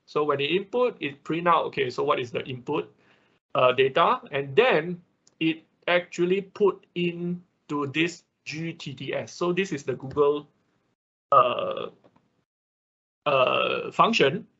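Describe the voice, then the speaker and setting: A man with a husky voice teaching a live online programming class informally, often repeating words and checking in as he explains. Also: fairly high pitch, slow, bright, forceful tone, dull audio. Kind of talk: informal live technical tutorial, explaining code.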